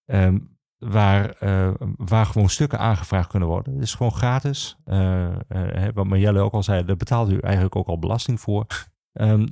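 A man speaking as a guest in an informal podcast interview, explaining his work as an archivist in halting phrases with frequent pauses. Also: fairly low pitch, gravelly, mellow, dry recording, studio quality.

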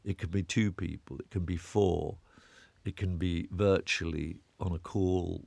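A man's voice: low voice, steady tone, unhurried, gravelly, a little mellow, no echo. A British man giving an interview guest's reflective answers, often restarting and repeating words as he searches for a thought.

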